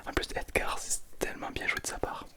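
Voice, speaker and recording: A gamer commentating casually on play in a breathy whisper, repeating phrases with playful mock bragging. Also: fairly quick. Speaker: gaming video host.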